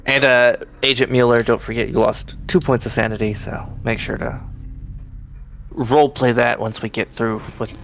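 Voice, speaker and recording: A man's voice: mid-range voice, fairly even intonation, moderate pace, fairly bright tone, slight background noise. A man working as game master, casually narrating and steering a tabletop role-playing game.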